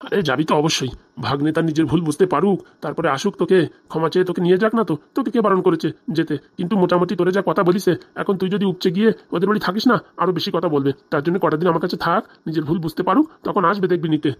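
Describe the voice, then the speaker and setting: A man's voice: high voice, racing. A man voicing a consoling, homely middle-aged village friend in a comedy cartoon, chatty and reassuring. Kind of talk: Bangla comedy cartoon dialogue.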